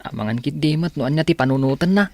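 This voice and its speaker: A man's voice: fairly high pitch, quick pace, mellow, dry recording, lightly acted. A man playing a shy, hardworking young student with an Ilocano Filipino accent in a comedy radio drama, speaking in a bashful, hesitant way. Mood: sheepish. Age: young adult.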